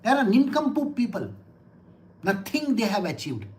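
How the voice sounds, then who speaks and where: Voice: medium pitch, wildly swooping pitch, normal speed. A spiritual teacher with an Indian English accent giving a scripture discourse in a scolding, sarcastic manner, slipping into Hindi phrases.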